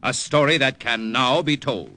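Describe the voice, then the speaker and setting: A man announcing a 1940s radio drama in a slow, weighty, portentous manner. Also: medium pitch, pushed and bright.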